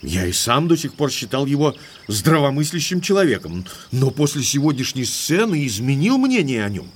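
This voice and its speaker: An actor playing an indignant, lovestruck young English baronet in an audio drama, speaking emphatically like an exasperated gentleman. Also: medium pitch, big pitch swings, normal speed, a little hiss or hum, natural voice. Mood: exasperated, baffled.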